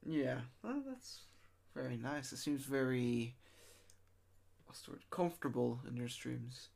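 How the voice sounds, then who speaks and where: A woman hosting a casual interview, relaxed and easygoing, giving short replies and laughing easily. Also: rumbling low, a little slow, gravelly. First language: English. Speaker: interviewer host.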